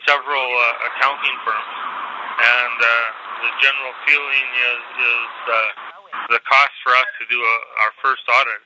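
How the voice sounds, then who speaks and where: A man speaking as a company executive on an investor conference call, halting and hesitant, over a noisy, crackly telephone line. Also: mid-range voice, level tone.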